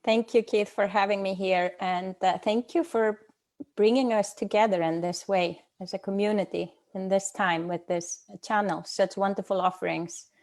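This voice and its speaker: A middle-aged woman from Iceland talks as a guest over a video call. Her pitch sits in an ordinary middle range for a woman. She speaks thoughtfully and hesitantly, pausing often with fillers as she gathers her words. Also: fairly even intonation, darkish tone. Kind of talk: casual personal talk.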